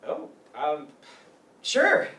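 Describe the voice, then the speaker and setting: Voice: very slow, bright, forceful tone. An actor in a staged play reading playing an awkward, naive young man in love, earnest and hesitant. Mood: nervous, hopeful. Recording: roomy, distant mic, faint noise.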